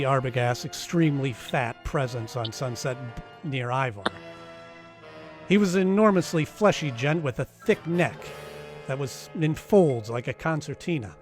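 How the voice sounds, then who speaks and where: An actor playing a wry, hardboiled private detective in a noir radio drama, casual, clipped and wisecracking. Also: male voice, fairly high pitch, lively intonation, moderate pace, soft background music, close to an everyday voice. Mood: wry narration.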